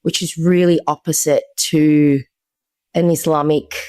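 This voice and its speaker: A woman's voice: low-pitched, little pitch movement, a little slow, a little mellow, clean tone, no room sound, studio quality. A young woman as a guest, candidly telling her personal story in a conversational way. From Australia. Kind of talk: personal testimony.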